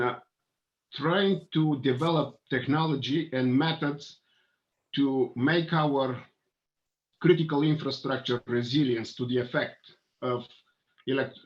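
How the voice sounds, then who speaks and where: A man in his sixties with a Bulgarian accent presents as an engineer on a webinar. He talks in a measured, hesitant way and fills his pauses. His voice comes through a dull, lo-fi video call from a home office. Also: medium pitch. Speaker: panelist, engineer. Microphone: video call webcam.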